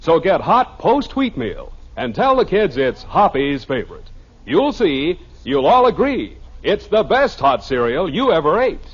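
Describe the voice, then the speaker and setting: An American man pitching a product in an old-time radio commercial style. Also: sing-song, a little slow. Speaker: commercial announcer. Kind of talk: vintage radio cereal ad pitch. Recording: muffled, rough vintage radio recording.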